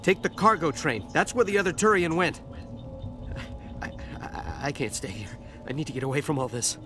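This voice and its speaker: Anxious pleading man, swooping pitch. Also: sing-song, moderate pace. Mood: shaken, anxious. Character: shaken, guilty male smuggler pleading and apologizing. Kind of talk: video game voice acting. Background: loud game music.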